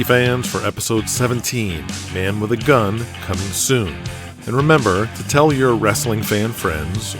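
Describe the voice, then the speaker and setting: An American man delivers scripted, dramatic narration. His voice sits fairly low, with music clearly playing underneath.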